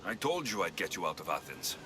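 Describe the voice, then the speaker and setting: An actor playing a tough, wry mercenary hero of ancient Greece in a video game, speaking dryly and bluntly. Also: male voice, sits low, big pitch swings, a little fast. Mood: calm, wry. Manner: dry, blunt, self-assured. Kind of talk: dramatic video game acting.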